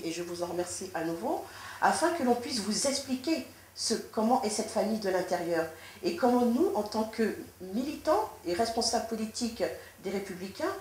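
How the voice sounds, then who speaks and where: A woman from France answering interview questions as a politician, in a measured, formal way with frequent restarts. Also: medium pitch, a little mellow. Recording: echoey room with faint noise.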